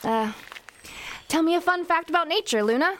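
A woman's voice: very high voice, animated, moderate pace, a bit bright, a little hiss or hum. A woman playing a breezy, sarcastic schemer in a comedy sci-fi audio drama, flippant and sardonic.

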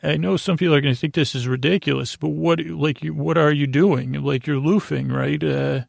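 A man hosting a podcast alone, rambling through whimsical banter in a halting, stammering, meandering way with a gravelly voice. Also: a little high, steady tone, darkish tone, dry recording, studio quality. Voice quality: gravelly, creaky. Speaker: podcast host. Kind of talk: rambling solo banter monologue.

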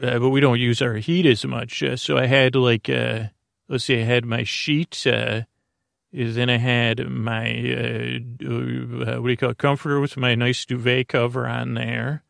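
A man's voice: medium pitch, level tone, measured pace, vocal fry, fairly bright tone, dry recording, clean studio sound. A middle-aged man hosting a sleep podcast, talking in a meandering, hesitant bedtime monologue full of uhs. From Bay Area, California.

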